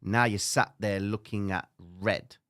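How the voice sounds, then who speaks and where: A man with a Cypriot accent hosting a live trading stream, talking straight to viewers with frequent tag questions. He jumps between loud, animated lecturing and low, muttered asides. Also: measured pace. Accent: Cypriot English. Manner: casual, frequent "all right?" tag questions, occasional swearing. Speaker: livestream trading host. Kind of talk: live stream chat reading viewer comments.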